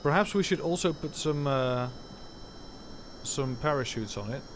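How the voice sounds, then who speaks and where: A man's voice: on the high side, lively intonation, measured pace, background noise. A man whose first language is Dutch, giving rambling, self-correcting live commentary while streaming a game from home.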